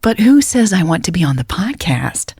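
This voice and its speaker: A woman voicing a teasing, sharp-witted female lover in audio story dialogue, with playful, challenging banter. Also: fairly low pitch, very animated, moderate pace, breathy edge, no room sound, studio quality, full character voice.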